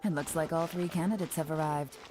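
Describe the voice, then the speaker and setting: An actress playing a composed, businesslike woman official in a video game, measured and authoritative. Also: sits low, steady tone, warm tone, soft background music, lightly acted, rough recording.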